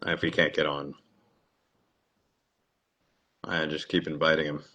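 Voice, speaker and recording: A man's voice: extremely low pitch, level tone, brisk, sharp tone. A man hosting a casual livestream interview, chatty and full of fillers, often repeating his words. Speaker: livestream host.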